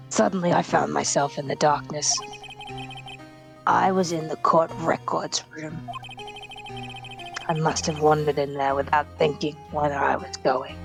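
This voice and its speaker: A woman's voice: sits low, gravelly, full character voice. A woman voicing an arrogant, vengeful male prosecutor villain in a theatrical, menacing fan dub of a courtroom game. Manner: theatrical, menacing, deliberate delivery.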